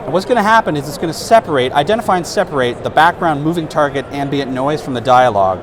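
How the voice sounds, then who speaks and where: A man in his thirties walks through a product demonstration at a trade-show booth, speaking casually with the odd filler. His lapel mic picks up a steady wash of hall noise around him. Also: on the high side, quick pace. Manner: relaxed, explanatory, casual fillers. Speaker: product specialist demonstrating software.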